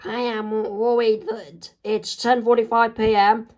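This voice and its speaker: A woman doing a young cartoon girl with a high, lifted voice that sounds anxious and earnest. She reads loud and pushes the words out, a storybook hero in a fan reading. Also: little pitch movement, measured pace, highs cut off, natural voice. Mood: worried.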